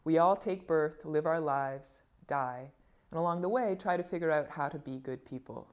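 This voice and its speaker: A woman with a notably low voice reads a prepared introduction. Her delivery is measured and reflective, with even phrasing that suits formal narration. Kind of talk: scripted narration.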